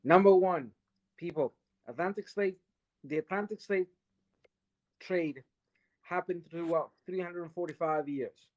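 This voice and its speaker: A woman's voice: lowish voice, a little slow, long pauses, highs cut off. A woman from Latin America making an emphatic, lecturing case during a live-stream debate. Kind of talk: impassioned live-stream argument.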